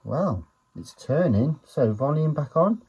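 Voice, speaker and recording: A man giving an unhurried, low-key, matter-of-fact commentary as he works on a gadget, muttering to himself at times. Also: lively intonation, a little mellow, breathy edge, rough recording. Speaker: retro electronics hobbyist presenter. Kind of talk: casual hands-on teardown commentary.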